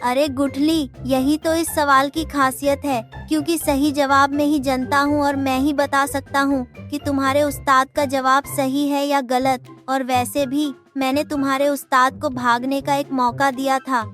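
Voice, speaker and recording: A woman's voice: high-pitched, little pitch movement, a little slow, few pauses, a bit bright, soft background music. A woman voicing a greedy, scheming male village clerk in a children's cartoon, sly and taunting. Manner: sly taunting, repeats a catchphrase.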